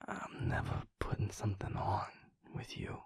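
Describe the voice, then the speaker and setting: A man playing a tender, earnest werewolf boyfriend in an intimate audio roleplay, speaking softly and haltingly with a breathy, gravelly voice. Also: no room sound, in a whisper, lightly acted. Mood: devoted, heartfelt. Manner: hushed, halting, heartfelt, soft-spoken.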